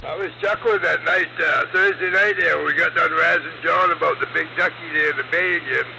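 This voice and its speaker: Clear static and noise surround this man's voice. It is high for a man and full of gravelly creak. He rambles in a folksy, teasing way, like casual radio banter. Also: brisk, bright, forceful tone, poor audio. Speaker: CB radio operator streaming live.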